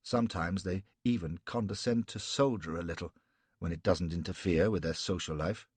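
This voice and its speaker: A man's voice: low voice, moderate pace, darkish tone, husky, dry recording. A man doing a dry, Welsh-sounding veteran captain. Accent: Welsh-sounding lilt. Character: weary veteran army captain, middle-aged man. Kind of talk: audiobook character voice.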